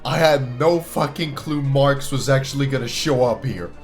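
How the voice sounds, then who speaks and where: A man's voice: medium pitch, normal speed, soft background music. A man hosting a reaction video with casual, informal commentary, swearing and repeating phrases for emphasis.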